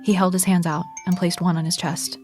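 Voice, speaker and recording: An actress playing a frightened young woman musician on the run, telling her story in a hushed, measured, tense way in an audio drama. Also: on the low side, little pitch movement, close to an everyday voice. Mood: tense, grave. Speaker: narrator and lead character. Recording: music bed under voice.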